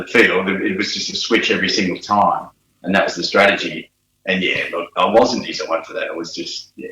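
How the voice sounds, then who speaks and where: Australian man, bright, in a roomy room. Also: lowish voice, slight background noise. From Australia, New South Wales. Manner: relaxed storyteller, frequent fillers and laughs. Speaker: guest, former footballer. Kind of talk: casual interview chat. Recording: roomy echo.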